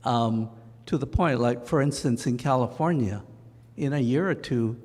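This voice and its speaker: A man speaking haltingly as a panelist, searching for his words. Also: mid-range voice, darkish tone. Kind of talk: panel discussion. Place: church sanctuary. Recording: long church-hall reverb.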